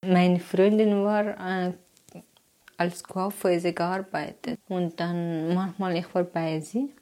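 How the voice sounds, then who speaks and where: A woman from Afghanistan telling her plans in halting German, pausing often to search for words. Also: fairly low pitch, level tone, mellow. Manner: hesitant, searching for words with many pauses. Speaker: interviewee. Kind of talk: halting personal account in learner German.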